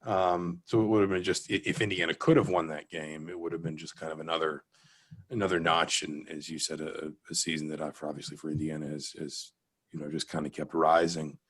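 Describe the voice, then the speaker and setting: A man's voice: a bass voice, level tone, fairly quick, a bit bright, slightly rough. An American man from Georgia answering as a guest sports writer, reflective and careful, often circling back mid-sentence. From Atlanta, Georgia, US. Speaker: guest sports reporter, newspaper beat writer. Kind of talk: interview answers, sports talk.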